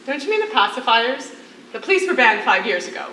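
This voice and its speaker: Woman acting as a witty, high-voiced imprisoned singer onstage. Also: big pitch swings, a little fast, bright, forceful tone, recorded from a distance. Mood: curious. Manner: teasing, dramatic. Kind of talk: theatrical stage acting. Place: on a stage in a hall. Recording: echoey theatre stage, faint noise.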